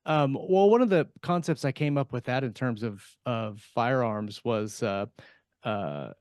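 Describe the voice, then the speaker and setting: A man talking casually on a panel as an author, halting and repeating words as he thinks aloud. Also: mid-range voice, expressive, a little mellow, smooth, no room sound, studio quality. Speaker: science fiction author on a panel podcast.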